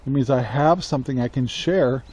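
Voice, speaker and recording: An American man in his sixties talking casually to camera outdoors, halting, reflective and plain-spoken. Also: mid-range voice, darkish tone, slightly rough. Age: 60s. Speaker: country living vlogger. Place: outdoors on a rural yard beside a metal barn. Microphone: camera at arm's length. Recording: faint outdoor ambient noise.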